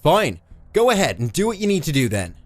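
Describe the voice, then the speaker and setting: A man's voice: mid-range voice, sing-song, normal speed, dry recording, close to an everyday voice. A man voicing an injured, trapped mechanic in an audiobook, desperate, foul-mouthed and strained. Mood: bitter resignation. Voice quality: croaking, strained. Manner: shouting, pleading, exasperated. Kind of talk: dramatised audiobook character.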